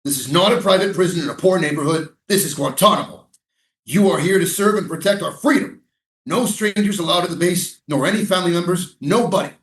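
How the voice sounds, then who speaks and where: High-voiced, rigid military commander acted by a man. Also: brisk, a bit bright. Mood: stern. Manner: barking, clipped, authoritarian. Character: hard, rigid male military guard commander, obedient and hostile. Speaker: actor in a staged reading. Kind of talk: theatrical staged reading. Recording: echoey stage, distant microphone.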